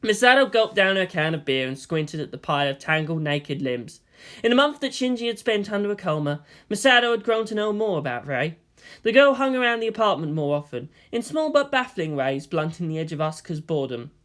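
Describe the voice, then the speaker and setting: A man reading fan fiction as an expressive scripted narration. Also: high voice, brisk.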